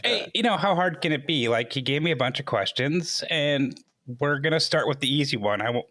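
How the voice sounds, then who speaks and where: A man's voice: a little high, expressive, fairly quick, bright, forceful tone. A man hosting a casual group interview. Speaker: host.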